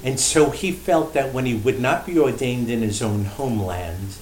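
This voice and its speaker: Roomy-sounding man, measured priestly storyteller. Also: medium pitch, a little mellow. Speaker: priest and shrine director interviewed as guest. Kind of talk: unscripted storytelling in a radio interview. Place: indoor room at a shrine. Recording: roomy echo, faint background noise.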